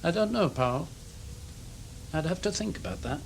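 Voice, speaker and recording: An actor playing a guarded, stubborn senior intelligence official in a radio drama, curt, defensive and authoritative. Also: male voice, fairly high pitch, lively intonation, moderate pace, vocal fry, a little hiss or hum.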